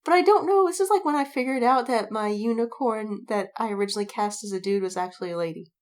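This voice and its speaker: A woman's voice: a little high, quick pace, darkish tone. A woman podcast co-host chatting casually, telling stories in a rambling way with acted-out quotes and frequent swearing. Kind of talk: casual chat.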